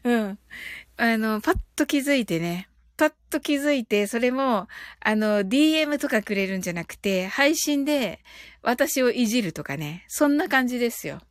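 A woman's voice: fairly high pitch, expressive, moderate pace, dry recording, studio quality. A woman hosting a livestream, talking to listeners and leading mindfulness. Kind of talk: casual livestream chat.

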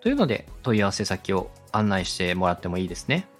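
A man's voice: sits low, very animated, fast talker, soft background music. A man giving a polite, step-by-step explanatory talk as a podcast host and instructor. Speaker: podcast host and radio director teaching podcast making.

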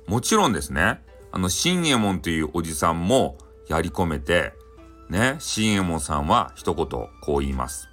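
A man with a Hakata accent narrating a bedtime folktale in a casual, chatty, animated way. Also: low-pitched, moderate pace, soft background music. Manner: chatty, animated, frequent sentence-ending fillers. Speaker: storyteller.

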